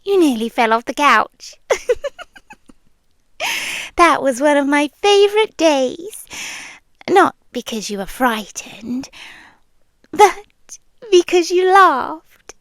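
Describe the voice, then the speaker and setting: A woman's voice: sits high, fairly bright tone, no room sound, clean studio sound, exaggerated voice. A British woman playing a lonely, obsessive, affectionate stalker girl in an intimate ASMR roleplay, speaking tenderly and breathily, slipping into whispers. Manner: slow, breathy, tender, with pauses and sighs. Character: lonely obsessive bodiless young female stalker, possessively affectionate. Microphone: close microphone.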